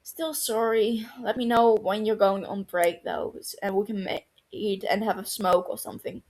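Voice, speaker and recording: A wry, teasing young female doctor, voiced by a woman reading a story aloud. She speaks at a middle pitch in an easy, dry tone. This is a plain storybook reading. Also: fairly even intonation, moderate pace, a little mellow, natural voice.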